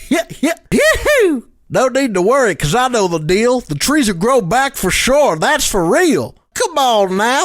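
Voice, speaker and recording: A man voicing a jaunty, greedy, threatening lumberjack beaver for a video game, with a creaky voice. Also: very high-pitched, a bit bright, exaggerated voice. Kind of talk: video game character voice lines.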